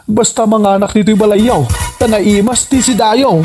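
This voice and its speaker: An actor playing a cheeky, greedy working husband with an Ilocano accent in a radio comedy drama, husky and wheedling. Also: male voice, sits high, steady tone, moderate pace, music in the background, close to an everyday voice. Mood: wheedling, excited. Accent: Filipino, Ilocano.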